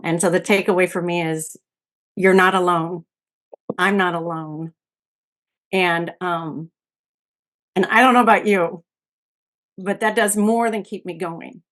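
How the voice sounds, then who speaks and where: Woman panelist, lowish voice, very slow and earnest reflection. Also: fairly even intonation, fairly bright tone. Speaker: panel speaker. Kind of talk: closing reflection.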